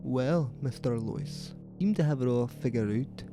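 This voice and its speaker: A man playing a calm, sinister police commissioner in a horror audio drama, measured and ominous. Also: mid-range voice, lightly acted. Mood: coolly sinister. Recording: music behind the voice.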